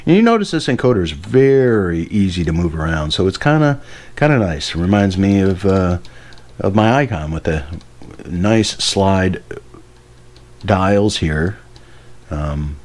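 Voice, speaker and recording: A man's voice: deep voice, sing-song, measured pace, long pauses, darkish tone. A man giving a casual hands-on demonstration as a radio hobbyist, rambling and correcting himself with enthusiasm. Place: indoor workbench.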